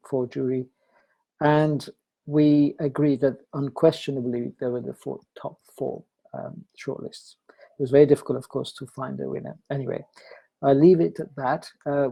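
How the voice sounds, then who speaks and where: A man speaking semi-formally as a jury member, in a halting way with repeated phrases. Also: medium pitch, creaky, mellow, low-quality recording. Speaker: competition jury member presenting results. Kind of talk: semi-formal spoken remarks.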